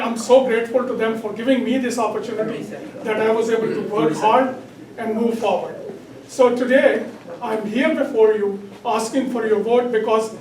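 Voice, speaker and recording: High-pitched hoarse Pakistani man speaking in hall. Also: a little mellow. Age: middle-aged. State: hoarse, bad throat. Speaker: political candidate. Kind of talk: campaign speech to a community audience. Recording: echoey hall, distant mic, background noise.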